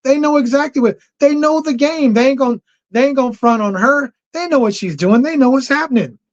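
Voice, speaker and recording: Animated man hosting a livestream. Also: very high voice, brisk. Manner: animated, repeats himself for emphasis. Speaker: livestream host. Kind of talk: casual livestream talk. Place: home. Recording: dull lo-fi stream audio.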